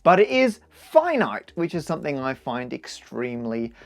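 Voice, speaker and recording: An enthusiastic man presents maths to his viewers in a fairly high male voice. He is emphatic and animated, slipping in wry asides as he explains. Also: normal speed, faint music behind. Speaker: maths video host.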